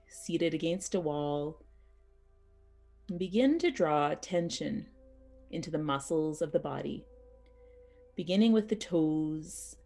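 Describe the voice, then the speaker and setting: A woman teaching yoga nidra as a guided meditation, speaking soothingly and unhurriedly in short phrases with pauses. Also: fairly low pitch, mellow.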